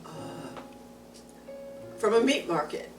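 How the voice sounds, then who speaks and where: An older woman from Chicago, answering her grandson's questions in a casual family interview, unhurried and speaking in short pieces. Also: medium pitch, expressive. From Chicago, United States. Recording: roomy, voice from far off, music behind.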